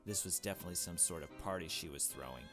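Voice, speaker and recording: A man's voice: low-pitched, quick pace. A man reading a visual novel aloud as a let's play host, chummy and joking, with casual asides and swearing. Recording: music behind the voice. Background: game music.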